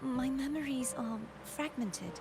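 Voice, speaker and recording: A woman's voice: sits high, measured pace. An actress playing a solemn, searching warrior woman in a video game, speaking formally and earnestly. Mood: pensive. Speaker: game character voice actor. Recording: music behind the voice. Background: music.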